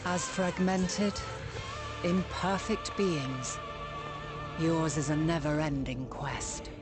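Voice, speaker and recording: A woman playing a solemn, compassionate ancient goddess in a fantasy video game, speaking in a grave, poetic and measured way. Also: sits low. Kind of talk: scripted dramatic monologue in a fantasy video game. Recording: voice over prominent music.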